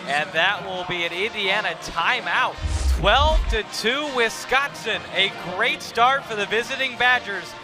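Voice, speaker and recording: A man's voice: sits high, a little slow, few pauses, music in the background. A young man doing live basketball play-by-play with a projected, punchy announcer delivery. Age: young adult. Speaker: play-by-play commentator. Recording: noisy gym, bouncing balls behind. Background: basketball arena noise.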